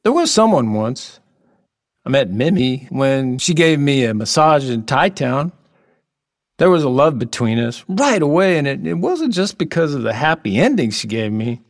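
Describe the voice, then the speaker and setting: A man acting in an audio drama as an odd, playful, lonely middle-aged workman, whimsical and halting, with earnest oddball candor. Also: mid-range voice, big pitch swings. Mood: wistful, confiding.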